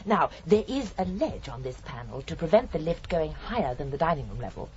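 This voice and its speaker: An actress playing a genteel, chatty older British murderess in a radio drama, prim and sweetly matter-of-fact. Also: female voice, low-pitched, big pitch swings, brisk, darkish tone, muffled recording. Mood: brightly matter-of-fact.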